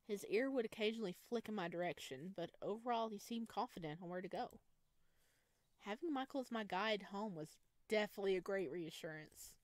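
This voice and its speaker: A woman's voice: mid-range voice, no echo. Woman reading a story aloud, dry close sound. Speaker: streamer reading a visual novel aloud. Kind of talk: expressive scripted narration of a visual novel.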